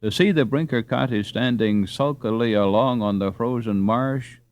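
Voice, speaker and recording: A man reading a classic children's story aloud as an audiobook narrator, with expressive, dramatic delivery. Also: medium pitch, normal speed, no room sound. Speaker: audiobook reader.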